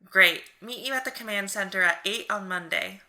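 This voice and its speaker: A woman's voice: medium pitch, normal speed, bright, forceful tone. A woman playing a frank, caring young superhero in an audio drama, talking conversationally. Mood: nervous, friendly.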